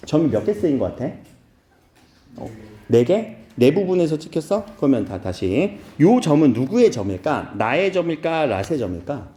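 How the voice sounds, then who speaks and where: A man's voice: fairly high pitch, sing-song, moderate pace, dark tone, reverberant. A man from Seoul teaching a class, asking his students questions and making playful asides. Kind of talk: classroom lecture.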